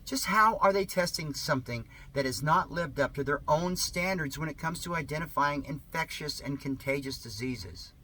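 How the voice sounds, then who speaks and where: A man reading an article aloud in a sarcastic, theatrical manner, with drawn-out emphasis and muttered asides. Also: fairly high pitch, bright, forceful tone, slight background noise. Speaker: amateur reader of an article.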